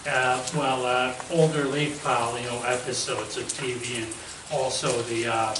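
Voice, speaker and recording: A male interviewer who fumbles through his questions, full of uhs and restarts. He is recorded far from the mic in an echoey space. Clear background noise sits under his voice. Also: medium pitch, fairly even intonation, unhurried. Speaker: host, interviewer. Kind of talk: casual phone-style interview. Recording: echoey, distant from the mic, noisy.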